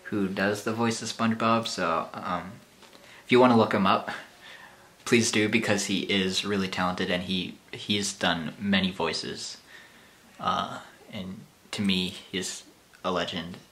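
A man's voice: low-pitched, steady tone, a little slow, a bit bright, a little hiss or hum. A young man casually chatting to camera in a rambling, self-deprecating way, in a small bedroom. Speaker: YouTuber. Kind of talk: casual vlog chat.

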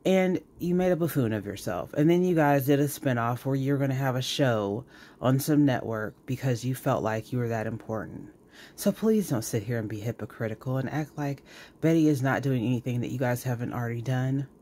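A man's voice: medium pitch, brisk, dark tone, a little hiss or hum. Scolding male commentary host. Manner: scolding, emphatic, repeats words for effect. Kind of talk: reaction commentary.

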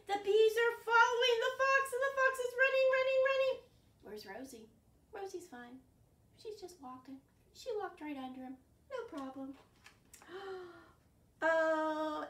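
An older woman hosting an interactive picture-book storytime for young children, expressive and full of questions to her listeners. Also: sits high. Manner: animated, questioning, exaggerated gasps and reactions. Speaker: children's librarian, storytime host. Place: living room at home, indoors. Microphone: camera a few feet away. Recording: roomy, distant from the microphone.

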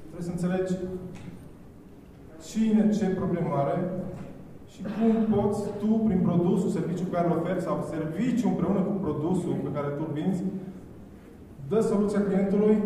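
A very reverberant, distant recording of a man speaking at a conference. His voice is somewhat high and mellow, and he talks informally to the room as a presenter. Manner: informal, addresses audience with rhetorical questions. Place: large hotel ballroom, on stage before an audience. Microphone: handheld microphone. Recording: echoey hall from far off, faint noise.

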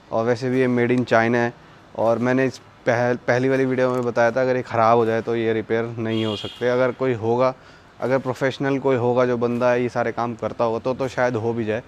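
A man's voice: medium pitch, a little hiss or hum. Young man, casual reviewer. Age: young adult. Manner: conversational, explanatory, mixes in English words. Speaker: product reviewer and vlogger. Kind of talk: casual product review to camera. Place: outdoors, terrace and courtyard.

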